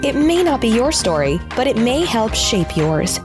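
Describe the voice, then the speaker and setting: Woman reading podcast ad, measured and polished, lively intonation, clear music bed. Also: fairly high pitch. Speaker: promo narrator. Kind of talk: scripted podcast promo narration.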